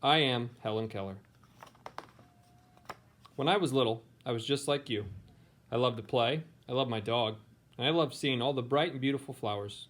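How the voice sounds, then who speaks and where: A man's voice: mid-range voice, moderate pace, pauses often, a bit bright, slight background noise. A man with an American accent, a teacher who talks informally and reads picture books aloud. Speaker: teacher and read-aloud host. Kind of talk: picture book read-aloud, informal introduction.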